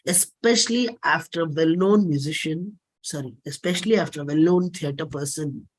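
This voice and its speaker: A woman with an Indian accent giving a dramatic reading of a play script in a deliberate, declamatory manner. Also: deep voice. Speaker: performer reading own play.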